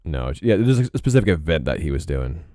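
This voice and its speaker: Deep-voiced man chatting on stream. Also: big pitch swings, fast talker, mellow, no echo, studio quality. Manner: laid-back, rambling, frequent throat clearing. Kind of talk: casual live-stream chat while drawing.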